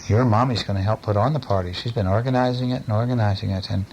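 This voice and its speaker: Gentle father talking low-key, faintly noisy recording. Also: fairly even intonation, fast talker, highs cut off. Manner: gentle, playful, asks tag questions. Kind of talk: casual chat and bedtime story reading to a child. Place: at home, lying down beside a child. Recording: noisy amateur home recording.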